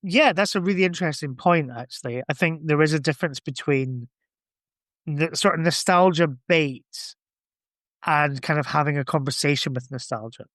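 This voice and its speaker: A man talks in a fairly high voice, close and dry, as if on a podcast. His delivery is informal and reflective, with an enthusiastic edge to the casual chat. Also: normal speed, a bit bright, no room sound. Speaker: podcast speaker.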